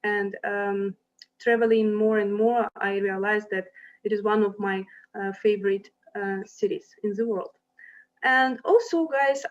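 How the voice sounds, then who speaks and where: A woman's voice: mid-range voice, muffled recording. A young woman from Ukraine presenting a university to applicants over slides, speaking hesitantly with many fillers and restarts.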